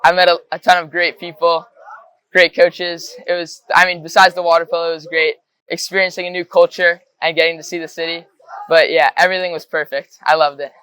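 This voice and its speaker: A sixteen-year-old man from Connecticut, talking relaxed and casual with "like" and "uh". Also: on the high side, fairly quick, smooth, dry recording, poor audio. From Connecticut, USA. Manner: relaxed, uses "like" and "uh". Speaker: student athlete. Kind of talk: casual testimonial interview.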